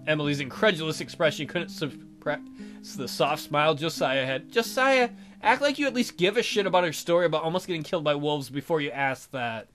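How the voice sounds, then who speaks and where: A man's voice: fairly high pitch, a little fast, fairly bright tone. A man narrating a let's play of a visual novel, reading aloud with playful, irreverent asides. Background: faint guitar music.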